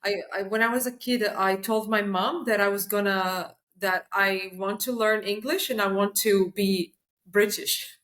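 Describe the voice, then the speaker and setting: A young woman from Croatia, whose first language is Croatian, chats on a podcast. She tells her stories with animated energy, often restarting sentences and breaking into laughs along the way. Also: mid-range voice, a little slow. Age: young adult. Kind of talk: casual podcast chat.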